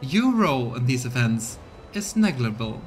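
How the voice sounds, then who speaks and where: A man's voice: mid-range voice, big pitch swings, moderate pace, soft background music, close to an everyday voice. Man putting on a harsh, contemptuous noblewoman. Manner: scornful, haughty. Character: harsh, angry, commanding noblewoman, contemptuous and imperious.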